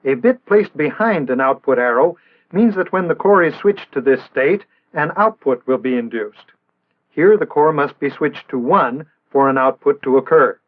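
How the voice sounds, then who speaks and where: An American man narrating a 1960s instructional film in a measured, didactic way with precise enunciation. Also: a little high, lively intonation. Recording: band-limited, tinny vintage film soundtrack.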